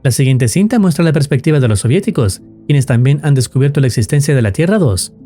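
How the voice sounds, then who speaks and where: A man's voice: medium pitch, animated, quick pace, warm tone, faint music behind. A man reading scripted narration in the role of a storyteller explaining a tale.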